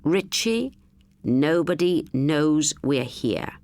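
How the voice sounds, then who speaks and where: A steady, protective woman, as voiced by a woman narrator in a suspense audiobook. She keeps her nerve with a firm, reassuring manner in a low, expressive voice, recorded dry. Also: drawn-out words, no room sound, heavily acted.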